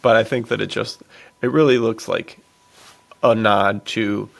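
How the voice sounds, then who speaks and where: Gravelly man in casual podcast chat. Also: lowish voice, steady tone, unhurried, a bit bright. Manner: informal slang, dude-heavy. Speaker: podcast co-host. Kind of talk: casual chat about adventure films.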